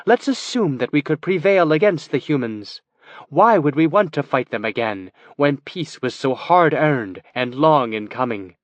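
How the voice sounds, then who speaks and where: A man's voice: on the high side, expressive, unhurried, no echo, muffled recording, pitched above the performer's own voice. A man voicing a wary male elf scout and warrior who questions in a formal manner.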